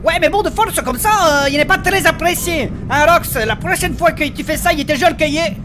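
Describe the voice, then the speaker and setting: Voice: very high voice, normal speed. An actor playing a small, cowardly, boastful gnome who whines and protests in broken third person in a comic fantasy audio drama. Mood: boastful, indignant. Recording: loud train noise and clamour behind.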